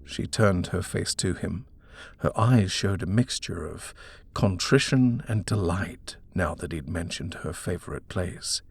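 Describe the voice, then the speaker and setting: A man with a husky voice narrating a scary story from a script in a dramatic reading style. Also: sits low, a little slow, a little hiss or hum. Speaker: audiobook narrator.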